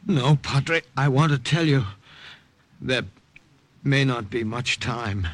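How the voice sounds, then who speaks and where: An actor playing an exhausted, determined American army captain in an old-time radio drama, with halting, repeated phrases. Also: male voice, medium pitch, no echo. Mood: weary, desperate. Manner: halting, repeats words in fragments. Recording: old radio recording, faint music behind.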